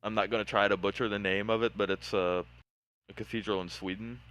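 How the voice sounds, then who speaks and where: A man's voice: on the low side, steady tone, brisk, fairly bright tone, rough recording. A man in a casual voice chat, explaining his building project hesitantly with many filler words.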